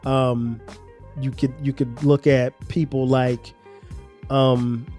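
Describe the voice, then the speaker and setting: Man with a South Carolina accent, slightly nasal, mellow tone, rambling podcast talk, faint music behind. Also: mid-range voice, level tone, takes it slowly. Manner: rambling, conversational, many restarts. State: congested sinuses. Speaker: podcast host. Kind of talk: casual solo podcast talk.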